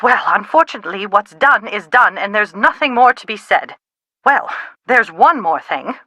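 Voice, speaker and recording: A woman playing an anxious, sharp-tongued middle-aged mother in a video game, with a dry, dramatic manner. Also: medium pitch, expressive, moderate pace, no echo. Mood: stern, exasperated. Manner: exasperated, dramatic, dry wit. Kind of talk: video game dialogue, phone conversation acting.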